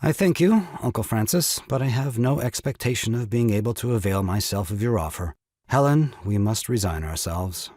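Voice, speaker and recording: Man acting a husky, animated, scheming nephew. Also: fairly low pitch, normal speed, no room sound, clean studio sound. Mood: stiff, resigned. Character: excitable, scheming nephew and new father, indignant. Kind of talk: stage farce audio drama acting.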